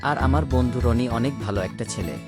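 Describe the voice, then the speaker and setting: A man's voice: mid-range voice, a little fast, loud music behind the voice, lightly acted. A man voicing an earnest young village boy in a Bangla cartoon, narrating and pouring out romantic declarations. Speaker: narrator and lead character.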